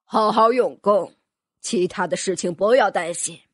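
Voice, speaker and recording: A woman reading an audiobook, voicing a gentle, teasing older male mentor who speaks slowly and encouragingly. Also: mid-range voice, dry recording, clean studio sound, pitched below the performer's own voice, close to an everyday voice. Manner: slow, warm, encouraging. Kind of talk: audiobook character voice.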